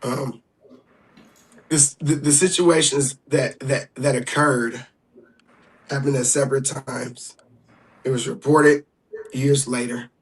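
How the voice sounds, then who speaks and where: A man speaking as a livestream guest, explaining carefully and haltingly, often clearing his throat. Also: mid-range voice, fairly even intonation, low-quality recording.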